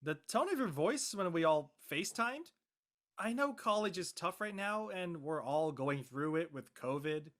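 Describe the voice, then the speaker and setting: A man's voice: a little high, lively intonation, moderate pace, fairly bright tone. Actor for young male best friend, drama, easygoing, supportive, with a teasing streak. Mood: reassuring. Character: devoted, reassuring young man, gently teasing. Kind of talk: acted dialogue in an audio-led play.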